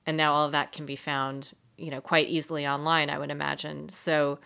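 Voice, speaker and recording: A woman's voice: sits low, little pitch movement, fairly quick, vocal fry, pushed and bright, clean tone. A woman hosting a podcast, asking questions in a conversational interview style.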